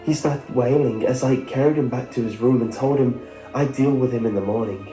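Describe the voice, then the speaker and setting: Mellow man narrating a horror story. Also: medium pitch, brisk. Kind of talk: scripted first-person horror narration. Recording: roomy sound with music behind. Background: background music.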